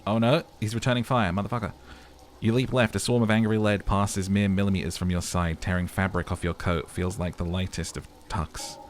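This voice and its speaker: A man with a low voice plays through a story game aloud. He narrates with dramatic storytelling flair and slips into casual, sweary reactions as a let's player. Faint game noise sits behind him. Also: fairly even intonation, brisk. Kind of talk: game playthrough narration with commentary and voice acting.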